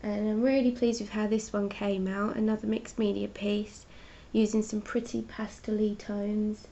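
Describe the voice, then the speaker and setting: A woman's voice: mid-range voice, flat delivery, normal speed, muffled recording. Congested woman chatting about her paintings. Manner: chatty, gently explaining her work. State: congested with a cold. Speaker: artist hosting her own live stream. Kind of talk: casual art chat, showing paintings.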